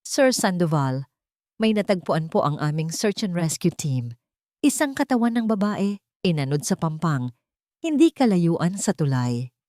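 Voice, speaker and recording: A woman's voice: lowish voice, sing-song, dark tone, dry recording, clean studio sound. A woman with a Filipino accent voicing a grave, respectful male police inspector in an audiobook drama. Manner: deferential, measured official phrasing.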